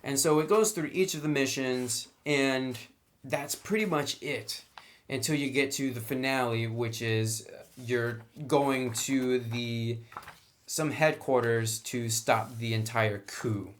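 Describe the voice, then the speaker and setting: A man's voice: mid-range voice, slow. A man reviewing a game book in a casual, unscripted way, explaining things informally and conversationally.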